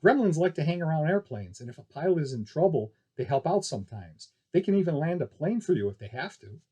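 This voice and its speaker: Bold young female aviator, read by a man: brisk, plain-spoken, lively swings, faintly husky, audiobook style. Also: fairly high pitch, voice pushed up. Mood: friendly, confiding. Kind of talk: audiobook character dialogue.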